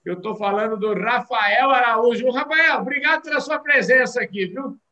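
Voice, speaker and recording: A man's voice: extremely high pitch, normal speed, bright, forceful tone. A man hosting a podcast interview, exuberant and folksy, talking straight to his listeners. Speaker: podcast host.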